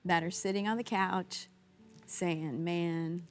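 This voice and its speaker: Female co-host, earnest and emphatic, lowish voice, faint music behind. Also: steady tone, takes it slowly. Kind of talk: talk show interview.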